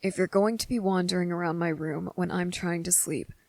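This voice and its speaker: A woman playing a wary, blunt but softening young boy in an ASMR roleplay, speaking deliberately with hesitant pauses. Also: on the low side, steady tone, dry recording, natural voice. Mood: weary, pleading. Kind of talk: ASMR roleplay audio drama.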